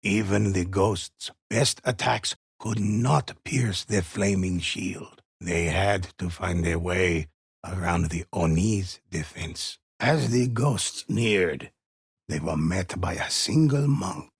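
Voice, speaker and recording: An actor playing a grave old male storyteller in a game, narrating a dark legend in a solemn, ominous manner with a gravelly, husky voice. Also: low voice, takes it slowly, no echo, studio quality, full character voice. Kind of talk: dramatic scripted game narration, folk-tale telling.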